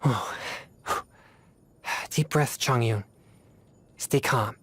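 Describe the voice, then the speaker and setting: A woman's voice: very deep voice, slightly rough, exaggerated voice. A woman voicing an earnest, disciplined young male exorcist in a video game, speaking formally and solemnly but easily flustered. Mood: indignant, restrained. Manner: formal, measured, earnest diction. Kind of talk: scripted video game character voice lines. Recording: music behind the voice.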